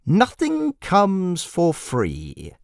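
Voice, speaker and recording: A man voicing a menacing supernatural jester in a dramatic fantasy story, speaking with slow, theatrical menace. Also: sits high, huge pitch swings, vocal fry, dry recording, studio quality, pitched above the performer's own voice, heavily acted. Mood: taunting. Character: menacing male jester, a sinister supernatural clown.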